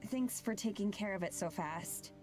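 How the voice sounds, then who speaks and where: A woman playing an anxious, hesitant, soft-spoken young woman in a visual novel. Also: medium pitch, normal speed, music in the background, close to an everyday voice. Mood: hesitant, polite. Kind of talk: visual novel character acting.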